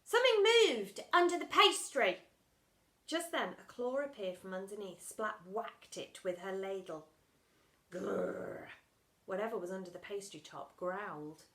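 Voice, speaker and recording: A woman reading a children's storybook aloud like a teacher, dramatic and expressive, punching the sound words. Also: medium pitch, measured pace.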